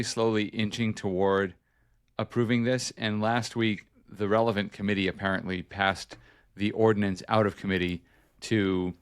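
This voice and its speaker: A man with a fairly low voice hosts a podcast, running through news updates in a relaxed, conversational way. He corrects himself as he goes and keeps the talk informal. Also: clear, resonant tone. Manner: conversational, self-correcting, chatty. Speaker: podcast host and journalist. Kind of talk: casual news chat.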